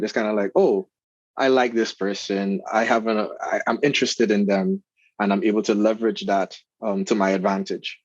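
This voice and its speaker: A man from Trinidad and Tobago with a Trinidadian accent, a guest talking in a relaxed, friendly interview with plenty of fillers. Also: lowish voice, no echo, highs cut off. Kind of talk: casual interview conversation.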